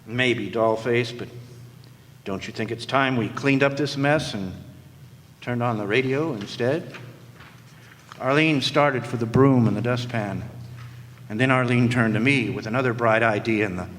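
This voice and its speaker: An actor playing a wry, world-weary hard-boiled private eye, delivering deadpan, wordy lines in a noir radio play. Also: male voice, medium pitch, measured pace, pauses often, natural voice. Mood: resigned, wry. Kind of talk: film noir radio detective acting. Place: live performance in a hall. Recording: echoey hall stage, faint noise.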